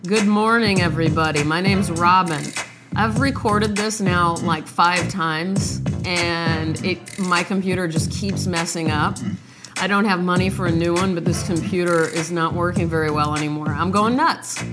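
A woman's voice: lowish voice, normal speed, over loud music. A woman hosting a podcast, chatting casually and jokingly about herself before reading a poem, her voice off from a sinus infection. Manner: chatty, self-deprecating, joking. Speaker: podcast host reading poems. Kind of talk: casual chat and poetry reading. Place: small home studio.

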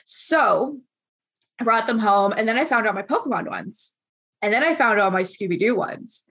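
A woman's voice: on the high side, normal speed, breathy edge, poor audio. Chatty young woman on a video call. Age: 20s. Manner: chatty, animated storyteller acting out quotes. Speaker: interviewer. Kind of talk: casual video call interview.

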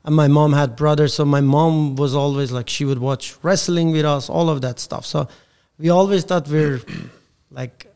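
A man's voice: fairly high pitch, fairly even intonation, normal speed, darkish tone, clean tone. A man from Iran chatting informally as a podcast guest, in a loose, colloquial way. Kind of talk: casual chat.

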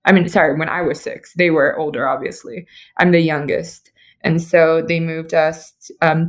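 A young woman, an architect and interview guest, telling her story casually with many "like"s over a remote call. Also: lowish voice.

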